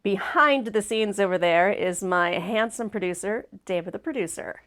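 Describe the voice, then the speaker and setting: A woman's voice: medium pitch, normal speed, a bit bright. Sniffly, chatty woman hosting a livestream. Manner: chatty and upbeat, laughs easily. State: sniffly, congested from allergies. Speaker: host. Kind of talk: casual livestream chat.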